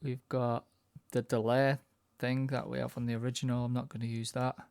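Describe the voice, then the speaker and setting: A man with a medium-pitched, noticeably creaky voice talks through a production tutorial in an informal way. He thinks aloud as he works, and the sound is dry and close, with little room. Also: little pitch movement, brisk, darkish tone, dry recording. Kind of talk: casual software tutorial narration.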